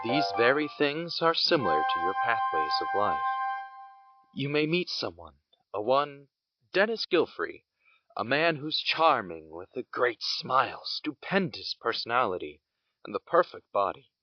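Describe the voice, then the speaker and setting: A man's voice: mid-range voice, sing-song, a little slow, no echo. A man narrating an audio drama in a reflective, philosophical way. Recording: muffled lo-fi recording with loud guitar music behind.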